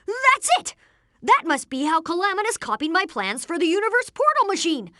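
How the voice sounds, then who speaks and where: Video game boy genius: earnest take-charge inventor, high and very lively, explains things precisely. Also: normal speed, dry recording, clean studio sound, heavily acted. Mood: excited, indignant. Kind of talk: animated video game voice acting.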